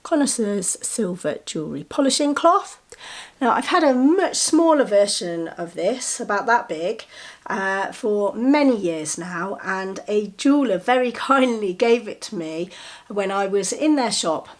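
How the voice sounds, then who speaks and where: A woman's voice: medium pitch, animated, unhurried. Chatty woman with a cold. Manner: chatty, enthusiastic, with soft giggles. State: a little bunged up with a cold. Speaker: vlogger showing gifts. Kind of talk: casual show-and-tell to camera.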